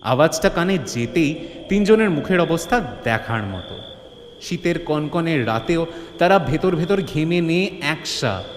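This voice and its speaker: A man narrating a scripted horror-comedy story in a theatrical, suspenseful style. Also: fairly high pitch. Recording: reverberant, faint ambient noise.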